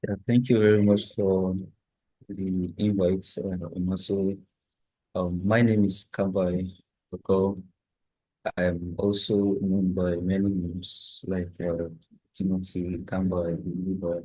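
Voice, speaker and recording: Nigerian man, very deep monotone voice, slow and calm, dark husky tone, dry sound. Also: no echo, rough recording. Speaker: workshop host. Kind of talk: self-introduction on a video call. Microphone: video call.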